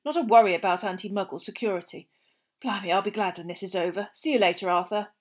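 A woman's voice: quick pace, close to an everyday voice. A woman doing a worn-out grumbling wizard. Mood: weary. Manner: weary, grumbling, low-key. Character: exhausted grumbling male wizard official.

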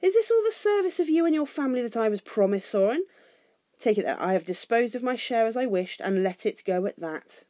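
A woman playing a small, timid but politely indignant male hobbit in a storybook, fussy and squeaky. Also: brisk, hardly any pauses, darkish tone, no echo, lightly acted.

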